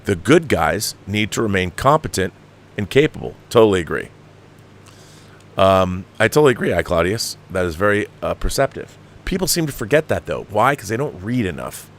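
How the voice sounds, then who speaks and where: A man hosting a film commentary livestream, talking casually and bluntly in an emphatic, halting, opinionated way, repeating himself for emphasis. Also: lowish voice, sing-song. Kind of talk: casual opinionated rant, answering viewer comments.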